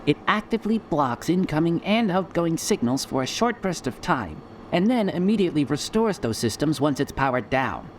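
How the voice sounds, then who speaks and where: A man's voice: on the high side, a little hiss or hum. A man playing a cautious, dutiful scientist serving under a commander in a sci-fi audio drama, earnest and hesitant. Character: cautious, dutiful male scientist officer, anxious subordinate.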